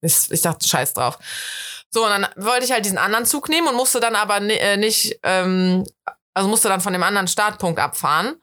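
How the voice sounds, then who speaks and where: A woman's voice: medium pitch, a little fast. A woman from the Rhineland with a Rhineland German accent, chatting casually as a podcast co-host and acting out her own quotes as she tells stories. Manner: animated storyteller, acts out her own quotes. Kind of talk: casual chat.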